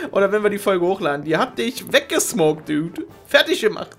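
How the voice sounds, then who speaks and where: A man's voice: sits high, very animated, normal speed, fairly bright tone. A man hosting a Minecraft game show casually and comically, informal, sweary and giggly in his exasperation. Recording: music behind the voice. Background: music.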